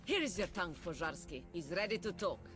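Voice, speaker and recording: A woman's voice: on the low side, big pitch swings, fairly quick, over music. An actress voicing a fierce young woman resistance fighter who speaks curtly and with threat. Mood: hard, demanding. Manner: curt and threatening.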